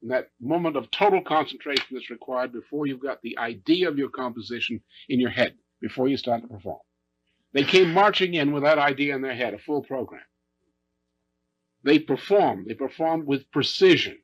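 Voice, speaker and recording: A husky-voiced man speaks in a muffled, lo-fi recording with very little room sound. He talks deliberately and emphatically, building his account in short, repeated phrases as if speaking without a script. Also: medium pitch, lively intonation, no room sound. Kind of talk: unscripted speech recounting a musical performance.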